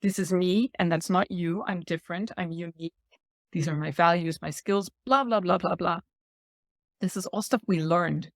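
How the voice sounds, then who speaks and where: A woman's voice: on the low side, moderate pace, no echo, clean studio sound. A woman in her forties who grew up in Thailand, a podcast guest explaining ideas earnestly and laughing lightly between points. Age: 40s. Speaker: guest speaker. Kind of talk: reflective podcast talk.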